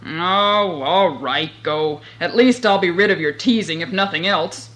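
A woman's voice: fairly low pitch, a little slow, fairly bright tone, poor audio. A woman voicing a tired, grumbling old father who is fed up with his youngest son. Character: weary, grumbling old father of three sons.

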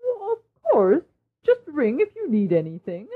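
A fussing, fretful mother, played by a woman with a husky voice that swoops from high to low. The recording is tinny and thin. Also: wildly swooping pitch, unhurried, full character voice. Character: fussing middle-aged mother.

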